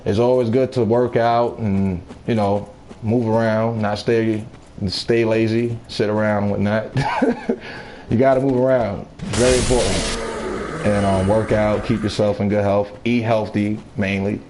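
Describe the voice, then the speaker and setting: A man in his thirties chatting casually with viewers while gaming, laid-back, talkative and quick to laugh. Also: lowish voice, fairly even intonation, a little mellow, slight background noise. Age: 30s. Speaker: gaming streamer. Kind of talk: casual live-stream chat while gaming.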